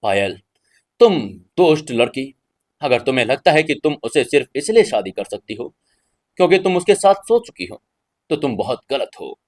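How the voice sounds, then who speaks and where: A man voicing a hurt, proud young woman in an audio drama, speaking softly and resentfully. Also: mid-range voice, sing-song, moderate pace, dry recording. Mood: angry, bitter. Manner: soft-spoken, resentful. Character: hurt, angry young bride-to-be, stubborn and proud.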